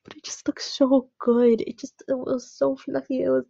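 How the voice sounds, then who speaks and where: A woman's voice: high-pitched, little pitch movement, normal speed, creaky, warm tone, no room sound, dull audio. A woman playing a sheepish young boyfriend in a roleplay audio drama, stammering and pleading apologetically. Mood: flustered apology.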